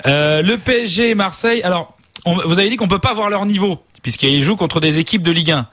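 A man giving an ironic, mock-serious football comedy chronicle on live radio, talking in rapid banter. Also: high voice, a bit bright, no room sound, clean studio sound. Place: radio studio.

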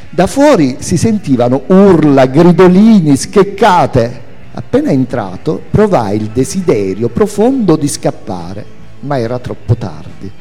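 A man reading a memoir aloud as its author, in an expressive, theatrical storytelling manner. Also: medium pitch. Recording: echoey hall with music. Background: music.

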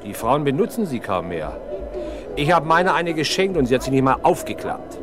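An actor playing a dry, wry police inspector who gives clipped orders in a 1970s German radio crime drama. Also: male voice, medium pitch, very animated, moderate pace, music in the background, close to an everyday voice. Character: wry male police inspector, brisk and commanding. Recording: noisy radio drama scene, street ambience.

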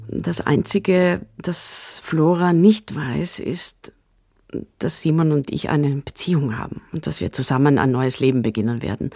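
A husky, blunt best friend. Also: female voice, low voice, warm tone, no room sound, clean studio sound, exaggerated voice. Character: blunt, doubting best friend with a guilty secret. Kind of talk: radio drama acting, dialogue and monologue.

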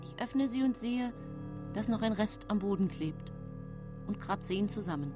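An actress playing a woman in her thirties who narrates her own grim life in a radio drama, in measured literary storytelling. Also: medium pitch, animated, music in the background, close to an everyday voice. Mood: composed, sly. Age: 30s. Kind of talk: German radio drama first-person narration.

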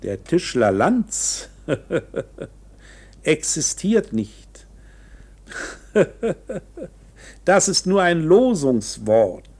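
A man acting in a German radio drama as a slippery, eccentric court insider who explains things in an insinuating, theatrical way with dramatic pauses. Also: on the high side, sing-song, a little mellow, slightly rough, exaggerated voice. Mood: sly. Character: slippery, eccentric male court insider coolly explaining hopeless legal options.

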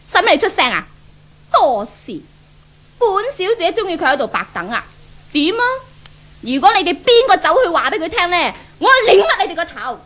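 A woman's voice: very high-pitched, sing-song, a little fast, fairly bright tone, slight background noise, full character voice. A woman acting a spoiled, tyrannical young mistress with a foul temper in a 1970s Cantonese radio drama, scolding haughtily in a Hong Kong Cantonese accent. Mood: haughty, threatening. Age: young adult.

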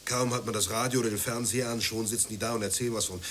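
Actor as an indignant, low-voiced family man, grumbling. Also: fairly even intonation, very fast, a bit bright, close to an everyday voice. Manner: grumbling, ranting, swinging between brooding and heated outburst. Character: poor, indignant, prudish family father hungry for respect. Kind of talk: German radio play acting. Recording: noisy 1980s radio play recording.

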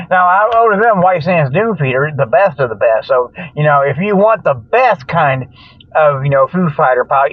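An older man delivers a rambling, tongue-in-cheek radio monologue. His voice sits fairly high, and his manner is folksy and jokey, prone to wandering off track. The sound is dry and close, with a slightly dull, lo-fi radio quality. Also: no echo. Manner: folksy, jokey, chuckles at own jokes, loses his train of thought. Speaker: host of a comic radio show.

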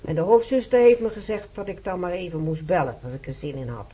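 A woman's voice: on the low side, sing-song, quick pace, warm tone, husky, muffled recording. Chatty, demanding female patient. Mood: demanding, wheedling. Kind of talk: radio play acting. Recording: faintly noisy radio play recording.

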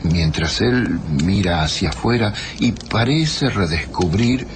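An actor playing a pensive writer who narrates, gravely and reflectively, a stranger's tale in a radio drama. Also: male voice, deep voice, lively intonation, slow, background noise. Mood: pensive suspense. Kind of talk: literary scripted radio narration.

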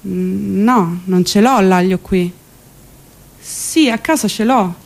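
A woman's voice: mid-range voice, slow, vocal fry. A woman with a Roman Italian accent, performing a comic dramatic monologue in a theatrical, colloquial and exasperated way. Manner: theatrical, colloquial, exasperated, foul-mouthed delivery. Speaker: stage actor performing a monologue. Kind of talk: dramatic comic monologue, first-person narration.

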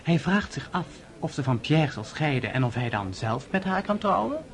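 An actor playing a shrewd, mocking grown son of an aristocrat in a radio drama, sardonic and knowing. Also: male voice, on the high side, sing-song, moderate pace. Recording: party crowd murmuring behind.

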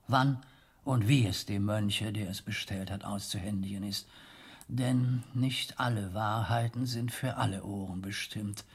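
An actor playing a stern, pious old medieval abbot in a German radio drama, speaking in a formal, rhetorical and measured way. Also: male voice, fairly low pitch, vocal fry.

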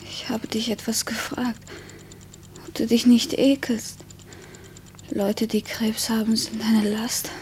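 An actress playing a self-conscious, vulnerable woman in love in a radio play, often hushed and close to whispering. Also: a little high, flat delivery, slow, pauses often, a little hiss or hum. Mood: fragile, insistent. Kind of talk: intimate radio play acting.

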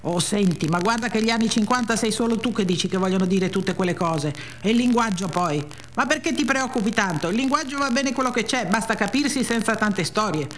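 A woman's voice: mid-range voice, fairly quick, few pauses, a little hiss or hum, voice pushed up, close to an everyday voice. A woman playing a vain, brash young starlet in a radio reading, provocative, bossy and dismissive in manner. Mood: impatient, dismissive.

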